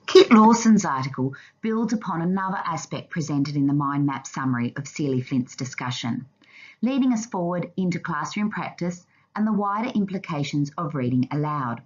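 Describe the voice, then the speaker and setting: A woman narrating a scripted slide presentation in a formal, measured reading manner. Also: sits low, lively intonation, lo-fi sound.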